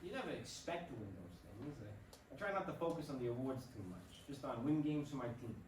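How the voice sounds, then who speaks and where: A man acting an earnest young baseball rookie with a halting, stammering delivery in a staged play reading. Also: mid-range voice, animated, natural voice. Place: stage before a room, far microphone. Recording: echoey distant stage recording with noise.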